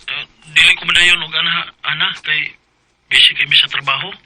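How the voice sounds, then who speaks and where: A man's voice: a little high, fairly even intonation, a little fast, sharp tone, rough recording. An actor playing a hesitant young boyfriend who stammers as he confesses to his partner in a radio drama. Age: young adult.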